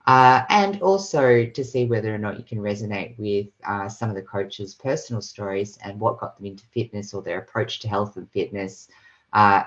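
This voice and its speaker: A woman's voice: very deep voice, dull audio. A woman hosting a casual video call podcast, rambling through a long introduction with many fillers. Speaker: podcast host. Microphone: video call mic.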